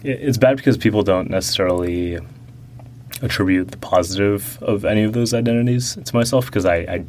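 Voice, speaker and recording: A young man answering interview questions about growing up, talking slowly and reflectively with many fillers and restarts. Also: lowish voice, darkish tone, slight background noise.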